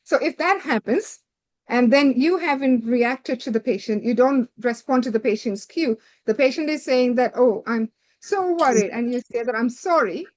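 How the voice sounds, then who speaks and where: A woman's voice: on the high side, moderate pace, a little mellow, rough recording. A woman giving a direct, instructive lecture to doctors preparing for a medical licensing exam, stringing her points together with "so".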